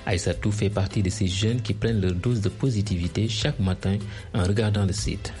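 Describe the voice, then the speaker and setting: A man's voice: on the low side, fairly quick, a little mellow, soft background music. A man narrating a scripted radio report.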